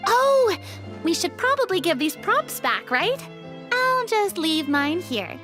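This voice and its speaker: A woman voicing a girlish, earnest young lady in a video game, courteous and dramatically expressive. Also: extremely high pitch, slow, over music, heavily acted. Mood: cheerful. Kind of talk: scripted video game dialogue.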